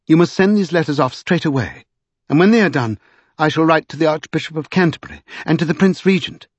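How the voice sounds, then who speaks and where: An imperious, indignant noblewoman from a storybook, acted out by a male narrator. The pitch is raised, and the speech is formal, emphatic and urgent. The voice is a little husky, on a dull recording. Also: brisk, no room sound, close to an everyday voice. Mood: earnest, determined. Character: indignant, strong-willed aristocratic lady, urgent and imperious.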